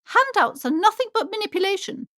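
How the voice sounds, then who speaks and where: A woman's voice: very high voice, expressive, a little fast, pushed and bright, no echo, studio quality, full character voice. An actress playing an anxious, superstitious, preachy woman bookshop owner in a video game, speaking in a theatrical, fretful way. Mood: prim, preachy. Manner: theatrical, fretful, swinging between gushing and alarm. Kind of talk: dramatic video game character dialogue.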